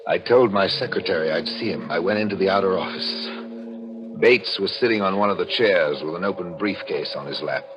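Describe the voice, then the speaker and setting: A man's voice: low voice, a little fast, natural voice, rough recording. An actor playing a guilt-ridden murderer narrating his own story in 1940s radio thriller style, brooding and melodramatic with desperate outcries. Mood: grim narration. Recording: old radio drama with music.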